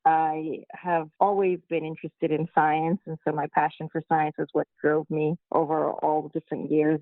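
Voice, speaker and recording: A woman from Guyana answering interview questions slowly and deliberately, heard over a tinny phone-like line. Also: low voice, gravelly, dry recording. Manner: slow, measured and deliberate. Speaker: guest physician-scientist.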